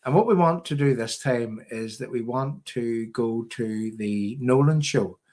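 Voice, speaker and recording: Male political stream host, Northern Irish, mid-pitched voice, emphatic and rambling delivery. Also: unhurried, darkish tone. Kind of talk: live political commentary to viewers.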